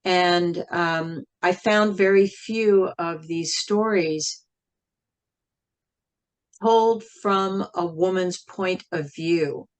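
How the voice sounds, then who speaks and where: Slow-spoken, thoughtful woman in an interview. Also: lowish voice. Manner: measured, thoughtful, with frequent pauses. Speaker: interview guest, singer and writer. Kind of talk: reflective interview conversation.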